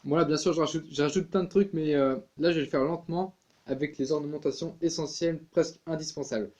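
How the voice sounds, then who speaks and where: Casual male music teacher, slightly high voice, chatty with lots of fillers. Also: fairly even intonation, quick pace, darkish tone. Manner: chatty, many fillers and restarts. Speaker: tin whistle teacher.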